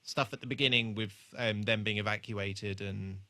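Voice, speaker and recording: British man, podcast co-host, crisp forward tone, close dry sound, casual and joking. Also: fairly low pitch, fairly even intonation, normal speed, bright, forceful tone, no echo. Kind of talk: casual TV rewatch chat.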